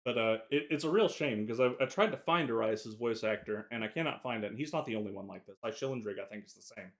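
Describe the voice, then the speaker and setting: A man's voice: on the low side, fast. A man from the South, talking in a rambling, opinionated way on a casual podcast. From Southern United States. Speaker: podcast co-host. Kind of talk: casual chat.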